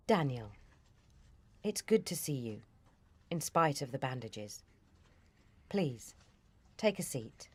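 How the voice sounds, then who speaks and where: Senior intelligence officer, radio drama, female actor, British. Deep female register, composed, formal, businesslike authority. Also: very animated, slow, pauses often, a little mellow, breathy edge, no echo, exaggerated voice. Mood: politely formal. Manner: controlled, formal, firm.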